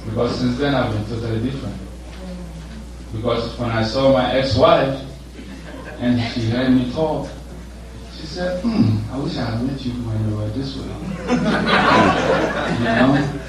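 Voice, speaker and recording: A man from Ghana sharing his personal story in a relaxed, conversational way, chuckling now and then. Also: medium pitch, a little slow, long pauses. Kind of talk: personal testimony. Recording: echoey room, distant mic, background noise.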